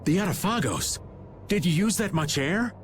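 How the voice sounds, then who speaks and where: Young male fantasy-game hero: hot-headed, high-pitched, animated delivery. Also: moderate pace, slight background noise. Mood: shocked. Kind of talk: dramatic video game voice acting.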